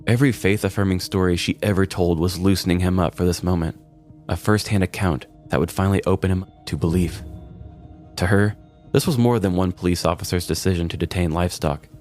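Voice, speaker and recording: A man's voice: sits low, a little mellow. A man, a podcast host, narrating a scripted comic story in a wry, dramatic storytelling manner.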